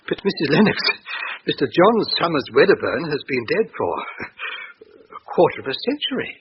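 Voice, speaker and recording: A man's voice: a little high, big pitch swings, normal speed. An actor with a British accent playing a courteous, solemn village clergyman in a radio drama, genteel and a little hesitant. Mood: puzzled, concerned. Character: courteous, grave village clergyman, pious and troubled. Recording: muffled radio recording with background hiss.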